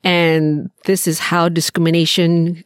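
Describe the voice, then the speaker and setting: A woman in her sixties from Malaysia, co-hosting a podcast and sharing her experiences in a measured, reflective way with frequent pauses. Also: fairly low pitch, little pitch movement, smooth, no echo, clean studio sound. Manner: measured, thoughtful, pauses with fillers. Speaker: podcast co-host.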